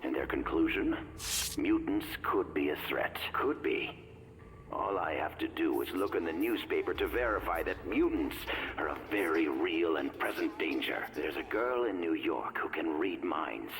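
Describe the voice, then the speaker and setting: A man's voice: low voice, lively intonation, moderate pace, a bit bright, a little hiss or hum, poor audio. An actor playing a stern, fearmongering male politician dictating a personal log for a video game, grave, rhetorical and emphatic. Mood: alarmed, scornful.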